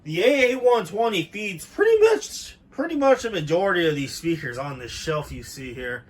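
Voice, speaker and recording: A man with a fairly high-pitched voice gives a casual, informal walk-through in home-video style. He chats as a hobbyist showing off his setup. The sound is that of a handheld camera mic indoors. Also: big pitch swings, normal speed. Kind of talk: casual handheld show-and-tell narration.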